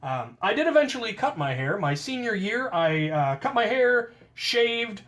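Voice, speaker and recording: An American man casually telling stories from his own life, with playful self-deprecation and drawn-out emphasis on key words. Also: high voice, very animated, fairly bright tone. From United States. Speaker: storyteller, host of a hobby channel. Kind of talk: casual autobiographical storytelling.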